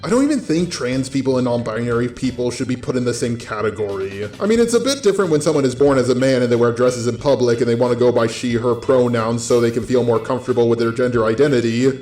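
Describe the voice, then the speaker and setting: A man's voice: mid-range voice, fast talker, hardly any pauses, a little mellow. A man with a robot-styled voice delivering a blunt, sweary opinion monologue as a YouTube commentator. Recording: roomy echo, faint music.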